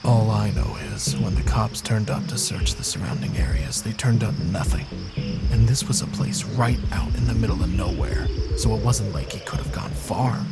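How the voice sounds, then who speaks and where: A man's voice: on the low side, normal speed, loud music behind the voice, lightly acted. An actor playing a weary, bitter police detective who tells his story in a brooding, sardonic, dramatic way for a video game cutscene. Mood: wry, weary. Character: weary, bitter male police detective recounting a serial killer case.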